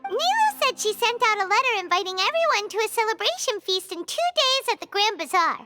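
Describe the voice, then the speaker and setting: A woman voicing a tiny, childlike, excitable fairy companion in a video game, squeaky and chirpy. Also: a little fast, loud music behind the voice. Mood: curious, chirpy. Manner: refers to self by name, excitable. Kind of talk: scripted video game dialogue.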